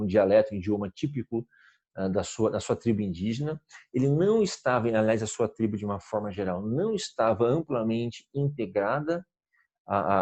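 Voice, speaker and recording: Law professor, husky and mellow. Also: male voice, on the low side. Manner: explanatory, many restarts and "tá?" checks. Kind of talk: recorded online lecture.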